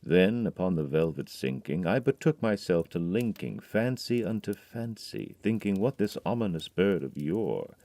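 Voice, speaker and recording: A man voice acting a grieving, haunted man in an audio drama, reading dramatic verse that swings from hushed brooding to loud, pleading outbursts. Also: deep voice, animated, normal speed, hardly any pauses, no echo.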